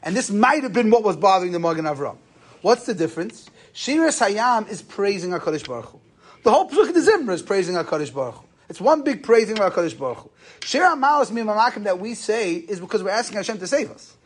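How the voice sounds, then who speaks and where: High-pitched man teaching a religious lesson. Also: sing-song, fast talker, husky. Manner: question-and-answer explaining, Yeshivish phrasing with Hebrew terms mixed in. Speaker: rabbi giving a lecture. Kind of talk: informal religious lesson, explaining texts.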